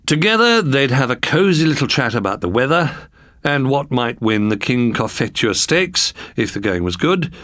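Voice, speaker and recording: An Englishman narrating a children's story in a wry, expressive storytelling manner. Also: mid-range voice, moderate pace, no echo, studio quality. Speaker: storybook reader.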